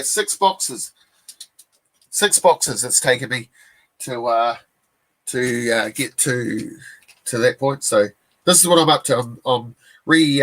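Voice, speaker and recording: A man in his forties with a New Zealand accent, chatting casually on a live stream as a comic collector, rambling and relaxed with lots of fillers and restarts. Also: mid-range voice, low-quality recording.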